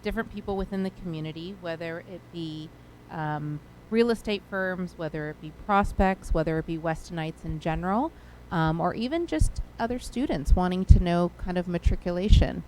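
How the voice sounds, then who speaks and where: Low-pitched woman with a casual, hedging delivery. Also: normal speed, darkish tone, slight background noise, low-quality recording. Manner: casual, with many fillers and hedges like "kind of". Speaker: communications committee member. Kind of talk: committee update at a board meeting.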